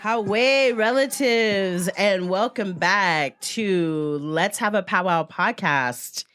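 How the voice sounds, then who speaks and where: A woman hosting a podcast in a playful, joking, animated manner, introducing and chatting with her guest. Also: mid-range voice, slow pace, smooth. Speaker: podcast host. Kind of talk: casual podcast chat. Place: podcast studio, seated beside the guest.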